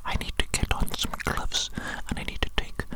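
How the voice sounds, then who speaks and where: A woman performing an ASMR roleplay as a grandiose, scheming evil scientist, whispering theatrically and repeating her phrases. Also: normal speed. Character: grandiose evil mad scientist doctor, scheming and self-important.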